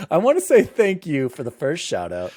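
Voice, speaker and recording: A man hosting a casual gaming podcast, chatting with excitable, jokey emphasis. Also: high voice, normal speed, husky, dry recording, studio quality. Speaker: podcast host.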